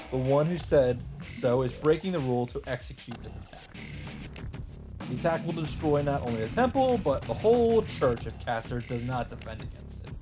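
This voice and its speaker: A man reading visual novel narration aloud as a let's player, in a scripted storytelling style. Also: mid-range voice. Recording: music behind the voice. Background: game music.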